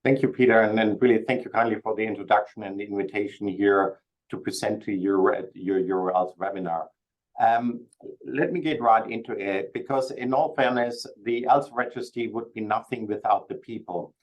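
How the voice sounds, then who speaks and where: A man's voice: on the low side, highs cut off. German-accented man presenting a webinar. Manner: polite, slightly halting. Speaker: presenter, registry committee chair.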